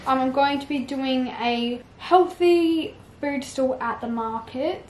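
A woman's voice: high-pitched, takes it slowly. A young woman in her twenties chatting to camera as a fitness vlogger, casual and encouraging, with lots of fillers. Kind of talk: casual vlog chat to camera. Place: sunny outdoor patio at home.

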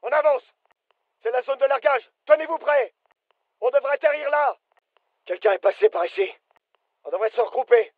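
Casting: alert game soldier, very high-pitched, clipped urgent commands, dry muffled recording. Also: male voice, normal speed, long pauses, no echo. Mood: businesslike. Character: British fireteam soldier, alert, businesslike adult man. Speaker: voice actor, French dub. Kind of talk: military shooter game callouts.

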